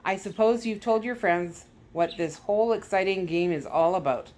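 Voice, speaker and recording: A smug Soviet KGB skipper , as a woman reader puts him on, the talkative male villain of a storybook adventure. He gloats in a deliberate, theatrical way, pleased with himself and keen to explain. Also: medium pitch, a little mellow, close to an everyday voice. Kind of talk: storybook read-aloud villain.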